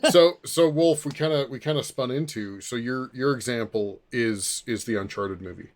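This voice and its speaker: A man in his forties hosts a casual podcast chat. His voice is medium in pitch, and he talks haltingly, circling back as he thinks aloud. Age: 40s. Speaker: podcast host. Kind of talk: casual film discussion.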